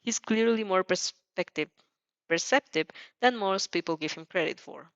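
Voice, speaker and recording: A woman's voice: mid-range voice, moderate pace, no room sound. Dull-sounding woman reading a story expressively. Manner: expressive, stumbles over words and rereads lines. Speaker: narrator and reader of fan fiction. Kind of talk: dramatic second-person story reading.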